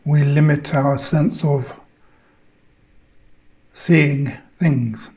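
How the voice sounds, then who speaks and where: A man leading a guided meditation in measured short phrases, leaving long pauses between them. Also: a little high, fairly even intonation, mellow.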